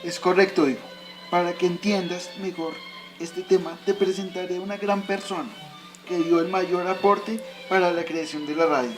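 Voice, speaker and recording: A man's voice: sits high, steady tone, normal speed, music in the background, lightly acted. A man playing a patient father who explains history to his son in a recited, didactic way, in an educational radio drama. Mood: explanatory.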